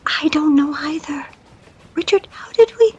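High-voiced, earnest young woman played by an actress. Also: lively intonation, a little slow, darkish tone, dull audio. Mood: hurt, anxious. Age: young adult. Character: polite, hurt young woman in secret romance. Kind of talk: radio drama acting.

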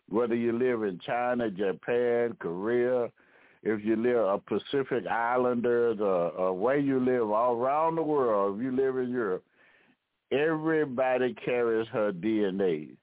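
A man hosting an internet radio history talk, lecturing in a halting way with frequent restarts and repeated words. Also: mid-range voice, no room sound. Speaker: history talk show host. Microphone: phone line. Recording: tinny phone-line call-in audio.

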